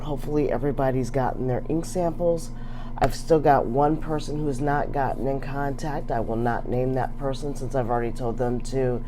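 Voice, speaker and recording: A middle-aged woman chatting casually to camera as a vlogger, rambling with many fillers, her voice a little raspy. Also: rumbling low, noisy background. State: slightly raspy voice. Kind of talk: casual chat.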